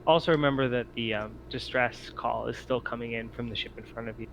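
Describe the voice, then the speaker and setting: A man narrating a tabletop roleplaying game as game master, haltingly, thinking aloud as he goes. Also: mid-range voice, steady tone, gravelly, fairly bright tone, a little hiss or hum, low-quality recording.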